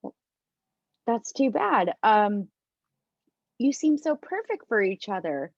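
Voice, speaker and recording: A woman acting a flustered, wounded woman with a cold in an amateur read-through of a romantic comedy, halting and hesitant. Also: mid-range voice, very animated, heavily acted. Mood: awkward, regretful. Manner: halting, deliberate, with hesitant restarts. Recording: dull, roomy home recording.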